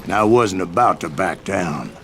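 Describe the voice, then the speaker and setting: An actor playing an aging, wry Old West bounty hunter, telling stories in a folksy American voice. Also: male voice, low-pitched, lively intonation, moderate pace, creaky, sharp tone, slight background noise, heavily acted. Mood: wry, reminiscing. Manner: folksy, wry, colorful turns of phrase. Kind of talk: scripted storytelling narration.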